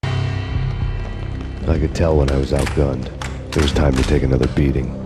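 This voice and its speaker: A very low-voiced noir cop narrates a video game story. He is deadpan and brooding, worn down and out for revenge, with music behind him. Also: unhurried. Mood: grim, weary. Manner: deadpan, world-weary. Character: brooding hard-boiled noir cop narrator, weary and vengeful.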